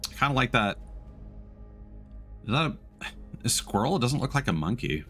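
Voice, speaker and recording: A man's voice: mid-range voice, big pitch swings, moderate pace, fairly bright tone, faint music behind. A man live-streaming a video game, chatting casually with viewers as he goes. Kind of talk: casual live-stream chat with gameplay commentary.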